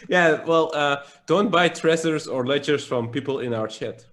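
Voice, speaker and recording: A man's voice: fairly high pitch, normal speed. Belgian-accented man co-hosting a live show. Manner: relaxed, reads chat questions aloud. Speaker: podcast co-host. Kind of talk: casual live chat.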